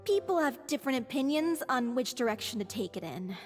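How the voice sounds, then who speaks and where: High, sincere troupe woman, reverberant. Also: expressive, a little fast, faint music behind. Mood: earnest. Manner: earnest, thoughtful. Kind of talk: scripted video game acting.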